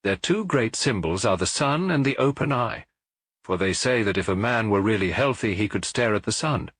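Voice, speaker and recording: A man's voice: lowish voice, lively intonation, normal speed, fairly bright tone, no room sound. A man voicing a tall private detective in an audiobook story, amused, careless and lightly conversational.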